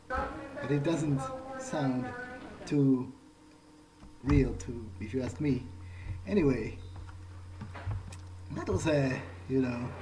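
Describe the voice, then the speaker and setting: A man plays a game in character, murmuring his thoughts aloud. He speaks slowly and haltingly at a middling pitch, over clearly noisy game ambience. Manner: musing, halting, murmured asides to himself. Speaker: gameplay video player.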